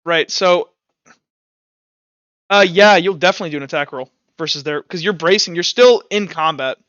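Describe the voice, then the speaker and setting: A man's voice: fairly high pitch, moderate pace, fairly bright tone, no echo, dull audio. A man acting as game master, casually narrating a tabletop game and talking through rules and dice rolls on a headset.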